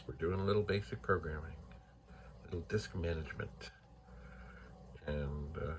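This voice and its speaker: Quiet deep-voiced man thinking aloud. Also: vocal fry, a little hiss or hum. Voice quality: quiet, soft-spoken. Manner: thinks aloud with trailing asides and whispered remarks. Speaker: retro computer vlogger. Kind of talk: casual rambling narration while testing hardware. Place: home computer room. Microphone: phone mic.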